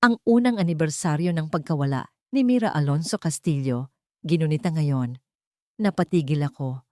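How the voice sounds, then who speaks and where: A woman's voice: sits low, normal speed, warm tone, no room sound, clean studio sound. A woman narrating a dramatic first-person story in a tense, suspenseful way.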